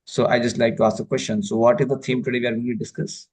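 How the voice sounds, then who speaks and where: A man's voice: mid-range voice, flat delivery, fast talker, a little mellow, slightly rough. Indian man giving an online spiritual lecture. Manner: patient, explanatory, many fillers and checks for understanding. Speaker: spiritual teacher. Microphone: video call.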